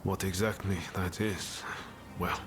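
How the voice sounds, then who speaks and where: An actor playing a resolute, spirited young samurai rebel in a dramatic video game cutscene, speaking in an earnest, plain-spoken way. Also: male voice, low voice, flat delivery, unhurried, background noise. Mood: solemn, resolved. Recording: loud music bed. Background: dramatic music.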